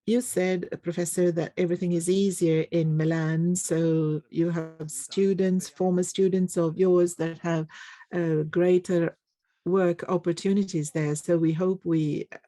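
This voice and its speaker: A conference interpreter giving a simultaneous English rendering of a panel discussion, halting often with fillers and pauses. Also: mid-range voice, fairly even intonation, mellow.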